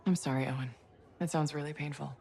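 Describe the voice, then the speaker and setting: Quick, frank game heroine. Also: female voice, low voice, steady tone, creaky, a little mellow. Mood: wry, concerned. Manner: direct, wry. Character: capable, frank female freelance pilot hero. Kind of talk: video game cutscene acting.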